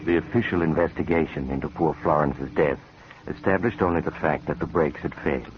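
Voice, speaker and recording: An actor playing an ominous, knowing storyteller, narrating a macabre 1950s radio mystery in a measured, sombre, formal way. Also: male voice, extremely low pitch. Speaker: narrator and host. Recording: dull, noisy vintage radio recording.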